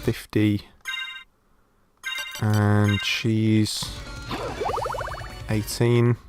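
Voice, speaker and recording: Croaky man narrating gameplay. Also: on the low side, very slow, over music. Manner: relaxed, thinking aloud while playing. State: croaky from a sore throat. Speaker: gameplay commentator. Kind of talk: casual let's play commentary.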